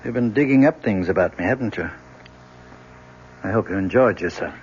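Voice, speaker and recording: A man's voice: on the low side, expressive, normal speed, darkish tone. An actor playing a weary, genteel older professor and father in an old-time radio drama, hesitant and donnish in his phrasing. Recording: muffled, noisy old radio recording.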